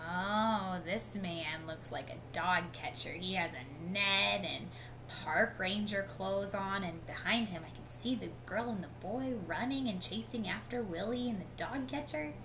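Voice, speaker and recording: A woman in her thirties reading a picture book aloud to children in an expressive storytelling manner. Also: medium pitch, a little slow. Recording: clearly noisy home webcam recording.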